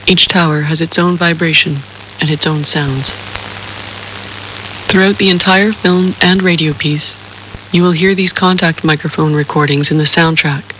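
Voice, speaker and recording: A man reading a scripted introduction in a measured, formal, careful way as the maker of the work. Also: fairly high pitch, pushed and bright, slight background noise, rough recording. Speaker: filmmaker introducing their own film and radio piece.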